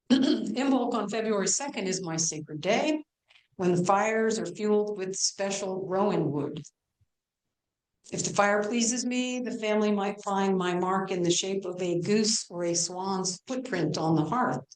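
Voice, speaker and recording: A woman playing a proud pagan Irish goddess in an amateur stage play, presenting herself in measured, scripted lines. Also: lowish voice, lightly acted. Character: triune pagan Irish goddess, proud, maiden, mother and crone. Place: church hall, live performance. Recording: roomy, echoing hall sound.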